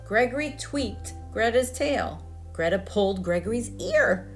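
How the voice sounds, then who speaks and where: A woman's voice: on the high side, expressive, a little slow, soft background music. A woman narrating a children's storybook aloud. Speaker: storybook reader.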